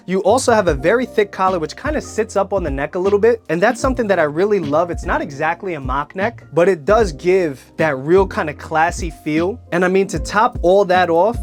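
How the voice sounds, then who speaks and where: A young man with a slightly hoarse, nasal voice from a cold, enthusiastically reviewing menswear in casual detail. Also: high voice. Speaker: fashion vlogger.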